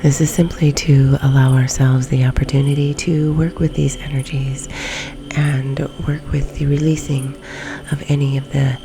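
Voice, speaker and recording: A woman leading a guided meditation in a soft, breathy, often near-whispered ASMR delivery, with long pauses between phrases. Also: a bass voice, little pitch movement, noisy background. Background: background music.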